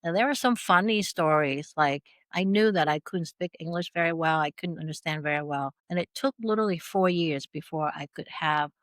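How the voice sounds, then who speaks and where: A middle-aged woman with a Vietnamese accent, her voice sitting a little low for a woman. She talks as an interview guest, telling stories with animation and laughter. The recording is close and dry. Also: brisk, few pauses, dry recording. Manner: animated storyteller, laughs through anecdotes. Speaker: podcast guest. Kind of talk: casual interview chat.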